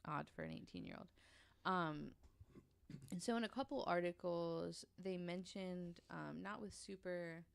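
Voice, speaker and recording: A woman's voice: lowish voice, a little slow, creaky, smooth, no room sound. A woman telling a researched story on a podcast in a casual, conversational way, with an informal, hesitant delivery.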